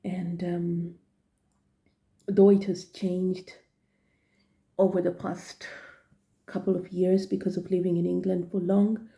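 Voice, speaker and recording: A woman in her forties from Zimbabwe, with a Zimbabwean accent, talking thoughtfully in a personal vlog with many pauses and fillers. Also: on the low side, little pitch movement, gravelly, dark tone. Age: 40s. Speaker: vlogger and trainee teacher.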